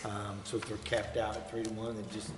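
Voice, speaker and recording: A man's voice: fairly low pitch, monotone, moderate pace. Businesslike man chairing in an echoey room. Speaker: mayor chairing the meeting. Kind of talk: formal meeting procedure. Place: indoor council chamber. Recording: echoey council chamber, clearly noisy.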